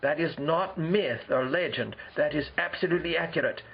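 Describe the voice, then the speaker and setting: Man playing a proud, gravelly Spartan warrior king. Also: on the high side, moderate pace, highs cut off, heavily acted. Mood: emphatic. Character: proud, solemn ancient Spartan warrior king being interviewed. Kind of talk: amateur historical role-play interview.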